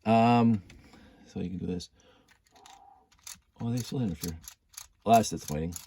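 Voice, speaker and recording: A man's voice: lowish voice, normal speed, vocal fry, warm tone, a little hiss or hum. A man with a stuffy cold, thinking aloud while he builds, rambling and humming as he works. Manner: rambling, self-deprecating, hums and mutters while working. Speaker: building hobbyist vlogger.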